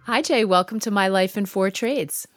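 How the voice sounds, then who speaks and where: A woman's voice: medium pitch, normal speed, music in the background. A middle-aged woman hosting an interview show in a conversational style.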